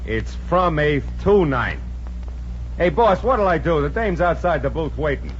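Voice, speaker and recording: A man's voice: on the high side, expressive, a little slow. An actor playing a pushy, slangy, easily flustered used-car salesman in a 1950s American radio comedy. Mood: exasperated. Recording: muffled, noisy old radio broadcast.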